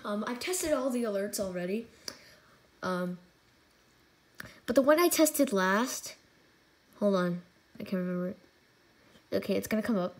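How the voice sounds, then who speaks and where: A woman's voice: medium pitch, lively intonation, normal speed, long pauses. A woman hosting a casual hands-on gadget demonstration, talking informally and muttering asides to the device. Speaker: hobbyist video host. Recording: noisy, with alert tones and sirens. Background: emergency alert tones and sirens.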